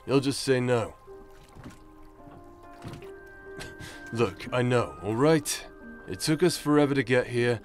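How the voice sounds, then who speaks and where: A man's voice: medium pitch, slow, long pauses, soft background music, close to an everyday voice. A man playing a cocky, crude, flirtatious knight in an anime-style audio roleplay, with a brash, teasing manner. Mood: exasperated. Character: cocky, vulgar, flirtatious bastard knight paladin, younger brother.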